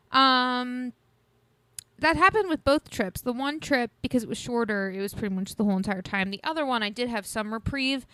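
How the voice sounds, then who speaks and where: A woman's voice: a little high, a bit bright, no room sound. Chatty woman in her early thirties. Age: 30s. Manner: rambling, chatty, laughs often. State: sniffly, congested. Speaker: podcast co-host. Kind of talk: casual chat.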